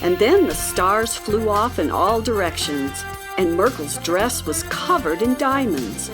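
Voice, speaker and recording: A woman's voice: mid-range voice, lively intonation, unhurried. A woman narrating a scripted children's story. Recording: music playing behind.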